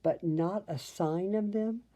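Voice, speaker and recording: A woman's voice: on the low side, takes it slowly, mellow. A woman reading a children's story expressively, with chatty asides to her listeners. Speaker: storybook reader.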